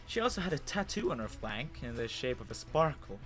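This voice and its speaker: A man acts out a bewildered human telling his own story in an animated dramatic reading. His mid-pitched voice is wondering and hesitant. Faint music plays behind him. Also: a little fast. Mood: stunned, observant. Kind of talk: first-person dramatic story narration.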